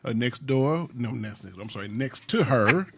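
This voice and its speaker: A man's voice: mid-range voice, lively intonation, unhurried, vocal fry, noisy background. A man hosting a casual podcast, joking around and often restarting his phrases. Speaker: podcast host.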